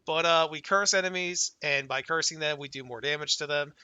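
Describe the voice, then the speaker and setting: A young man casually talking through his game character, laid-back and excited, his voice worn and going hoarse from a cold. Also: on the high side, fairly quick, no room sound. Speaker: gaming channel host. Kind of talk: casual gameplay commentary.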